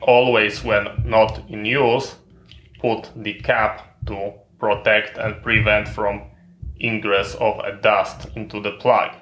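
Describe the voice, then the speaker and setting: A man presents a hands-on tutorial in an unhurried, very even voice with a crisp, bright edge. His English has non-native phrasing, and he explains each step methodically. The room adds a noticeable echo. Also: on the low side, a little hiss or hum. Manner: methodical, instructional. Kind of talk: step-by-step hands-on equipment demonstration. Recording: roomy, echoing sound.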